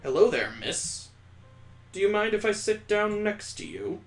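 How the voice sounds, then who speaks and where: A man voicing an awkward, nervous young man from a visual novel, babbling and stammering haltingly. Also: high voice, sing-song, soft background music, voice pushed up, full character voice. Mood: awkward, tentative. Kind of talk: visual novel character reading. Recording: echoey, roomy sound.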